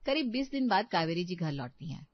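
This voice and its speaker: A woman's voice: on the low side, sing-song, a little fast, no echo, muffled recording. A woman narrating a scripted domestic story as a storyteller.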